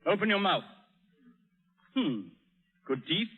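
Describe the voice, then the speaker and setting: An actor playing a harsh, contemptuous colonel and planter in an old-time radio drama, barking orders imperiously. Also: male voice, high-pitched, big pitch swings, slow, slightly rough, heavily acted. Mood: contemptuous, commanding. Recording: tinny, band-limited vintage radio broadcast.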